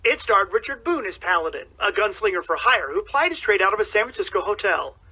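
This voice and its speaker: A male radio host reads a scripted introduction at a brisk clip. His high voice is very bright and forceful, with a husky edge. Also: expressive.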